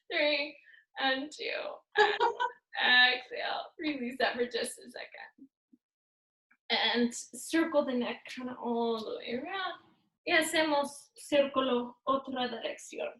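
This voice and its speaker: A woman's voice: sits high, expressive, slow, long pauses, pushed and bright. Brief: female fitness instructor, playful cueing, recorded far off in an echoey room. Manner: upbeat, playful cueing mixed with Spanish words. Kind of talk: guided workout cues.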